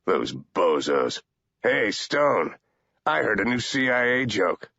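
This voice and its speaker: A man voicing a sardonic male agent who talks mildly but with quiet threat. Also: medium pitch, animated, measured pace, gravelly, dry recording, pitched above the performer's own voice, exaggerated voice. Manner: mild on the surface, quietly threatening. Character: menacing, sardonic male "bad cop" agent. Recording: dull, lo-fi audiobook recording.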